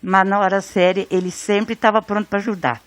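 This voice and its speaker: Uma mulher do sul do Brasil relembrando o irmão numa entrevista, falando com calma e carinho. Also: female voice, medium pitch, brisk, dry recording. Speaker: interviewee, sister. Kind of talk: interview recollection.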